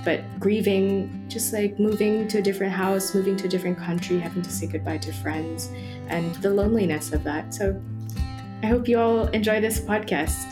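Calm woman podcast host. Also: fairly low pitch. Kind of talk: podcast intro and interview.

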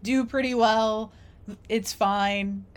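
A woman in casual, reflective conversation, telling stories in an animated way and acting out imagined quotes. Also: a little high, slow pace, noisy background.

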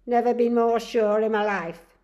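Woman reading as a grouchy old man in a storybook, snappish, lively pitch, unhurried. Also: highs cut off, exaggerated voice. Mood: grumbling. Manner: grumbling, snappish. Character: grumpy older man, stubborn and weary.

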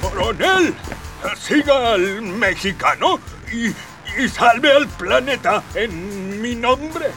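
Excitable high croaky sidekick. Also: male voice, slow pace, music in the background, heavily acted. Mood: urgent. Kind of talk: radio drama acting. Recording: distant from mic.

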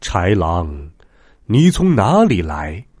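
Guy playing a storybook mountain god, low and slow, calm n commanding, music underneath. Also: no room sound, clean studio sound, exaggerated voice. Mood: inquiring. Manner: measured, instructing. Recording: music behind the voice.

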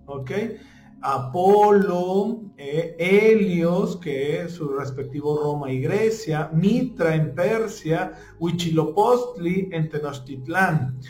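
A man giving an informal spiritual talk, pausing often with fillers and checking in with listeners after nearly every phrase. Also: on the high side, a little mellow. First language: not Spanish (joking). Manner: halting, many fillers, stumbles over names. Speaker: spiritual teacher. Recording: echoey room with faint music.

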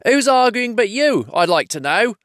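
Tom the troll, a screechy squabbling brute pitched far above the narrator's own voice, snapping back at every word. Dry, close sound. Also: male voice, sing-song, moderate pace, fairly bright tone, no room sound, full character voice. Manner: shrill and bickering. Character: squeaky quarrelsome male troll.

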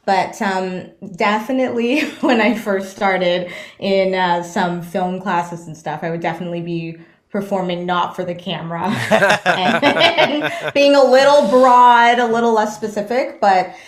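A woman actress talking about her career as a guest in a casual interview, with lots of "um" fillers and animated laughs. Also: medium pitch, measured pace. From Bahamas, raised in Canada. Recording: roomy echo.

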